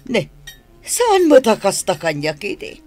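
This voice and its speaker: A woman's voice: medium pitch, huge pitch swings, unhurried, darkish tone. A woman playing a probing, motherly and pious older auntie in a radio drama, with an Ilocano accent. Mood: inquisitive. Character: inquisitive, pious, advice-giving older auntie.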